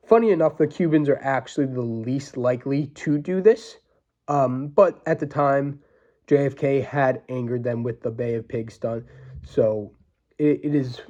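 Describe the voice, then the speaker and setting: A congested man getting over the flu, hosting a solo history podcast in an informal, halting, opinionated way, often checking in with the listener. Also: mid-range voice, a little mellow, lo-fi sound. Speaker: podcast host.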